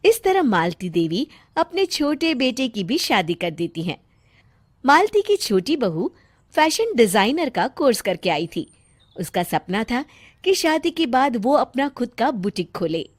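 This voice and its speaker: A woman storyteller giving scripted storybook narration in a calm, measured way. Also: a little high, dry recording, clean studio sound.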